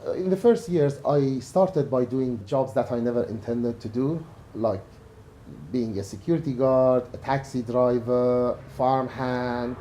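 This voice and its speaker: Calm, earnest man. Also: medium pitch, a little slow, a little hiss or hum. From Iraq. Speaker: interviewee. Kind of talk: reflective personal testimony.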